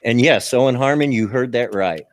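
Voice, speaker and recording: A man's voice: mid-range voice, moderate pace. A man with a Southern US accent chatting casually as a podcast host, stammering through restarts and chuckling often. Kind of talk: casual political chat.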